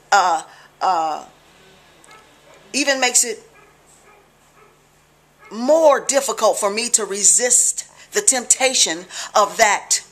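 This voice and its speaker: A middle-aged woman with an American accent talks to the camera in a small living room. Her voice sits at an average pitch. She teaches in a conversational, deliberate way, stressing points and checking in with the listener. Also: a bit bright. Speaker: religious video blogger. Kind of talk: informal teaching talk to camera.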